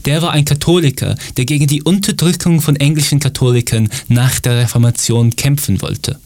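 A man's voice: mid-range voice, fairly even intonation, brisk, a little mellow, no echo. A man from Britain reading a scripted piece in learner German, with fillers and restarts.

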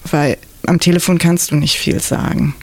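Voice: medium pitch, level tone, normal speed, dry recording. A radio interview guest from southern Germany, talking casually and reflectively in a studio discussion.

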